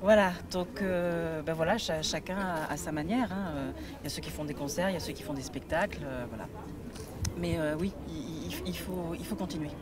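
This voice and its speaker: A woman's voice: deep voice, a little fast, a crowd in the background. A young woman born in Lebanon, a storyteller, chatting in an interview with many fillers. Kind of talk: interview answers. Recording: noisy outdoor recording.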